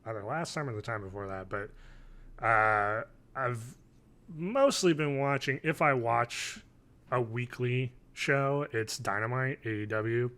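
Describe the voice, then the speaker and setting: A man in his thirties co-hosts a casual podcast with a bright, forward voice at medium pitch. He rambles drily and hesitates as he goes. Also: a little slow. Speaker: podcast co-host. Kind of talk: casual chat. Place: home living room, video call.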